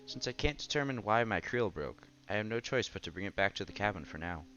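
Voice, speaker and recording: Male game streamer, lowish voice, narrating a visual novel with offhand conversational asides, music playing behind. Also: lively intonation, quick pace, a bit bright, highs cut off. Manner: breaks off to react conversationally. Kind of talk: dramatic reading with casual asides. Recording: music under the voice. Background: background game music.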